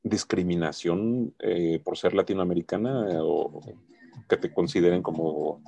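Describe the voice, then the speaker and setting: A man's voice: sits low, unhurried, gravelly, dark tone, breathy edge. A middle-aged man hosting a casual interview over a video call, speaking into a headset mic. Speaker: interviewer, host. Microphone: wired earphone headset.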